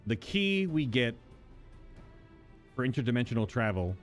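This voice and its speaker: Casting: male game streamer, mid-pitched, chatty and speculative, casual live reactions with music underneath. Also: big pitch swings, normal speed. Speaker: streamer reacting to and reading a game's story quests aloud. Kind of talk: casual live-stream commentary mixed with reading dialogue aloud.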